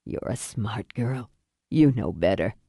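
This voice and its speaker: A woman voicing a weary, regretful, wryly tough dying father in an audiobook thriller, with weak, halting delivery. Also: very deep voice, animated, warm tone, slightly rough, dry recording, studio quality, voice pushed down, full character voice. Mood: fading, fond. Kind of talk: audiobook thriller character acting.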